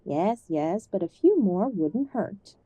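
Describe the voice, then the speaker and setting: A woman acting an ailing older mother in an audio drama, gentle and affectionate, old-fashioned and stubbornly optimistic, fond of endearments. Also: medium pitch, lively intonation, takes it slowly, slightly rough, no echo, highs cut off. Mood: fond, proud. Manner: gentle, fussing, uses endearments.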